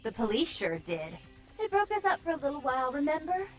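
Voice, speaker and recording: A woman playing a vampire with two warring personalities, stern and wild, in dramatic video game acting that swings between hushed and fierce. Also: high-pitched, sing-song, fairly quick, faint music behind. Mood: bitter, grieving.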